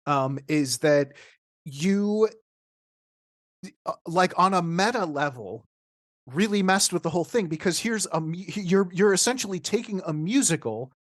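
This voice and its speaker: A woman's voice: on the low side, lively intonation, a little slow, no room sound, clean studio sound. A woman hosting a podcast, commenting casually and informally, often breaking off and restarting her thoughts. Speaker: podcast host.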